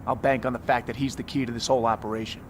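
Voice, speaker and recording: A man's voice: mid-range voice, level tone, rapid-fire, slight background noise, natural voice. A man voicing a tough, driven special forces commander in a video game cutscene, terse and commanding. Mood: controlled, businesslike. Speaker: military squad commander.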